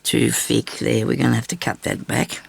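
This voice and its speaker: An older woman with a raspy, hoarse voice from a bad cough, chatting casually through a sewing tutorial at her craft table. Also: very deep voice, little pitch movement, moderate pace. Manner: chatty, rambling asides while stitching. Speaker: crafting channel host. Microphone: overhead camera on her hands.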